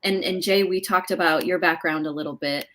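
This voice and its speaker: A woman's voice: lowish voice, fairly even intonation, brisk, fairly bright tone. A woman hosting a live interview conversationally, encouraging and appreciative toward her guests. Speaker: live show host, interviewer.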